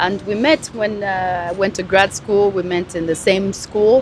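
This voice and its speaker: A woman's voice: medium pitch, level tone, fairly quick, slight background noise. A woman from Nigeria in her thirties, a guest chatting in an interview, laughing often and trailing off mid-sentence. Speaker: guest, family vlogger. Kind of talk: casual interview chat.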